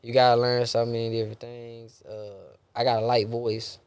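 A man's voice: mid-range voice, monotone, normal speed, a little mellow, smooth, no room sound. A young adult man, a gospel rapper talking loosely in a casual interview, rambling and dropping bro and man often.

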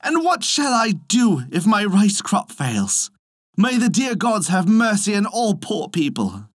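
A storyteller voicing a poor, pious, excitable peasant man who exclaims in old-fashioned storybook phrases. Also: mid-range voice, unhurried, no echo, studio quality, voice pushed up. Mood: despairing. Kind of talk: storybook reading.

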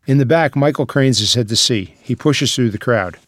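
Guy narrating stage directions from a script, medium pitch, no room echo. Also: normal speed, studio quality.

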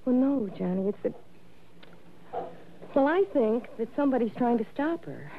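An actress playing an earnest, evasive young niece in a 1960s radio drama, hesitant and pleading. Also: female voice, fairly high pitch, expressive, moderate pace. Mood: earnest, worried. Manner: hesitant, pleading, stammers when pressed. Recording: noisy, tinny vintage radio recording.

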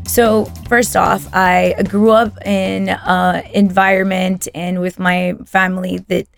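Gravelly-voiced woman from Texas, Spanish native. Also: lowish voice, level tone, slow pace, dry recording, faint music behind. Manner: warm storytelling with frequent restarts. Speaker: podcast guest. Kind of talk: casual interview chat.

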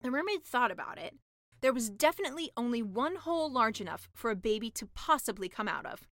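A woman reading a comic fairy tale as a scripted storybook narrator, expressive and mock-dramatic. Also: on the high side, a little fast, dry recording, clean studio sound. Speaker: author reading own story.

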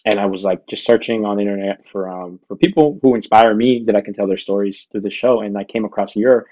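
A man's voice: on the low side, fast talker, dark tone, lo-fi sound. A man hosting a podcast, chatting in a casual, informal, slangy interview style. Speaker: podcast host.

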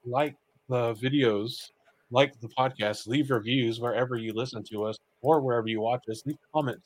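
A man's voice: mid-range voice, moderate pace, low-quality recording. A man co-hosting a podcast in a casual, colloquial, joking way, as in chat and plugs. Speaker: podcast co-host.

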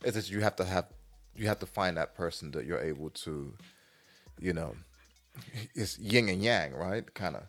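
A man's voice: low voice, animated, normal speed, a bit bright. A man co-hosting a casual advice podcast, talking colloquially and repeating himself to press his point. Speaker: podcast co-host giving advice. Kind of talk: casual banter and opinion.

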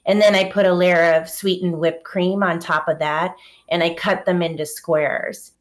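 A woman's voice: on the low side, fairly even intonation, normal speed. A young adult woman from Hawaii, chatting casually as a podcast guest and telling stories with animation. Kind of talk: casual chat.

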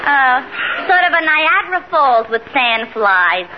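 A woman's voice: high-pitched, sing-song, measured pace, over a noisy crowd. An actress playing a brassy, wisecracking young woman, the boss's daughter, in a 1950s radio comedy. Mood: mocking. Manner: wisecracking, sarcastic. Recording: noisy, hissy, muffled old radio recording.

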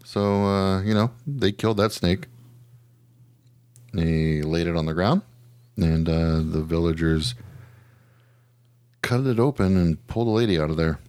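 A man's voice: deep voice, unhurried, long pauses, darkish tone, smooth, dry recording. A man hosting a podcast, chatting casually about odd news in a slangy, joking, profane way. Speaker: podcast host. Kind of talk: casual news chat with a co-host.

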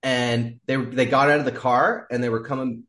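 A man's voice: mid-range voice, fairly even intonation, moderate pace, fairly bright tone. Laughing, swearing man telling a story. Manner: rambling, laughing, swears freely. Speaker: podcast guest. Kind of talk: casual storytelling.

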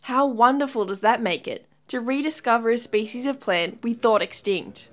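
A woman playing an earnest scientist giving a measured, educational conference lecture in an audio drama. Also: a little high, fairly bright tone, close to an everyday voice. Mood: earnest, informative. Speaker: scientist presenter.

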